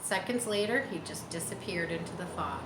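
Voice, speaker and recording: A woman's voice: fairly low pitch, expressive, fairly quick. A woman in her forties reading a children's book aloud, dramatically and with explanatory asides for young listeners. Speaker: storyteller. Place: bright room by large windows. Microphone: camera a short distance away. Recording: roomy, some background noise.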